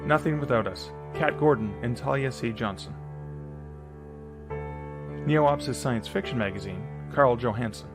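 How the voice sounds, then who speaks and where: A man's voice: mid-range voice, a little slow, long pauses, music in the background. A man hosting a live-streamed awards ceremony over a video call, reading nominees in a jokey, upbeat way. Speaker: awards host.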